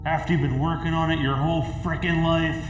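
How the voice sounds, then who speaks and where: A man voicing a bitter, taunting video game villain with a menacing, drawn-out delivery over music. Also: a little high, steady tone, echoey room, voice pushed up, close to an everyday voice, poor audio. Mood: bitter, accusing. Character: bitter, taunting male game villain obsessed with his costume.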